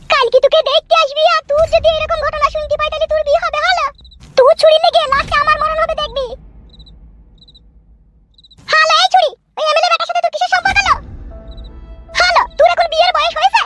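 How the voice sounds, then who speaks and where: A performer voicing a scolding, exasperated village mother in a Bengali comedy cartoon, with a rural West Bengal accent and a pitch-shifted voice. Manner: nagging, shrill scolding in village dialect. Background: loud music.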